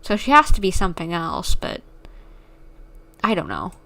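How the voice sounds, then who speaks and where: A woman's voice: on the low side, huge pitch swings, normal speed, pushed and bright. A woman streamer giving casual live commentary on a game, musing and speculating aloud as she plays.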